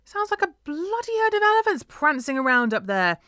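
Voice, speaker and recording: A woman's voice: mid-range voice, big pitch swings, moderate pace, no room sound, clean studio sound, close to an everyday voice. A woman voicing a harsh, mocking, bullying mother who scolds her daughter in a storybook reading. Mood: angry. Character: shrieking, scornful, bullying mother of a girl.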